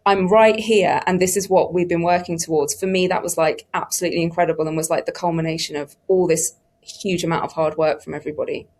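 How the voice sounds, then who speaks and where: A woman's voice: fairly low pitch, steady tone, fast, a little mellow. A woman telling casual anecdotes on a discussion panel in an informal, enthusiastic way. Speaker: production team member on a panel.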